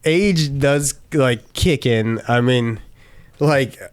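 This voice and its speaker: A man's voice: mid-range voice, drawn-out words, clean tone, dry recording. Laid-back man swearing through casual chat. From Chicago. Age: 30s. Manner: loose and rambling, heavy on fillers and swearing. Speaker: guest.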